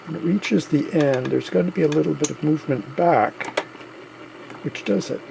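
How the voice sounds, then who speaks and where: A man with a gravelly voice plainly and methodically narrating a hands-on repair as the host of a hobby video. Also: on the high side, moderate pace, background noise.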